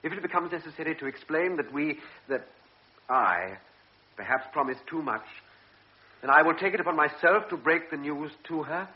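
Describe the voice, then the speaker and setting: A man's voice: a little high, expressive, normal speed, close to an everyday voice. An actor playing an earnest young army lieutenant who pleads his case in a radio drama. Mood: pleading. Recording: dull old radio recording.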